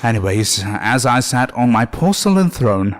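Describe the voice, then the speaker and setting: A man's voice: mid-range voice, big pitch swings, normal speed. A man reading a scripted first-person horror story as narrator, with music behind him.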